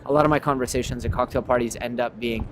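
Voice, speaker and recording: A man's voice: medium pitch, steady tone, fast talker, background noise. A man talking casually as a podcast host, animatedly telling stories and acting out example greetings. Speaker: podcast host and memory expert. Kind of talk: casual conversational discussion.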